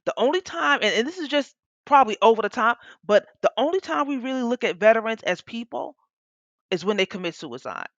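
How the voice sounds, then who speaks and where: A woman's voice: fairly high pitch, big pitch swings, a little fast, dry recording, dull audio. A woman advocate speaking in a blunt, emphatic, impassioned way on a panel, repeating phrases for force. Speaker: panelist, women veterans advocate.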